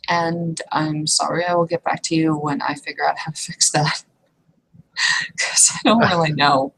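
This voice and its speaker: A woman's voice: sits low, fairly even intonation, measured pace, creaky, fairly bright tone, breathy edge, full character voice, low-quality recording. A woman playing a blunt, kindly, world-weary female troll in a casual tabletop roleplay. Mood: wry sympathy.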